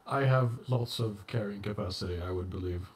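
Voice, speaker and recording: A man's voice: on the low side, a little fast, a little mellow. A man playing an online tabletop role-playing game, speaking earnestly when he proposes a plan for the group. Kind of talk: casual chat, partly in character.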